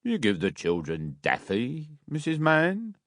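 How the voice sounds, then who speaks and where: A man voicing a pompous, self-important parish beadle in an audiobook, dignified and deliberate. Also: fairly high pitch, huge pitch swings, fairly bright tone, no echo, clean studio sound, voice pushed up, full character voice.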